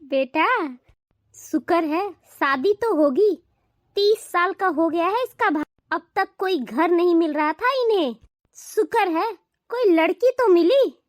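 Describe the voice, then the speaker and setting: A woman's voice: extremely high pitch, takes it slowly. A woman voicing a scornful, bossy middle-aged mother-in-law with a mocking, dismissive way of talking in a cartoon family drama. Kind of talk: animated family drama dialogue.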